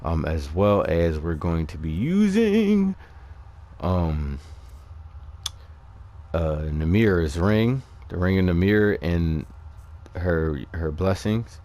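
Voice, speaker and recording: A man giving casual, unscripted gameplay commentary, haltingly thinking aloud as he explains things. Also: deep voice, big pitch swings, mellow. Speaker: gamer presenting a character build.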